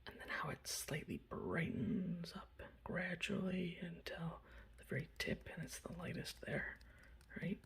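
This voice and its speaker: A man softly whispering in a casual, rambling ASMR style, breathy and close to the microphone, showing off a hobby. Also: fairly high pitch, very animated, measured pace. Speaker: ASMR video host. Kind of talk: ASMR, informal hobby show-and-tell.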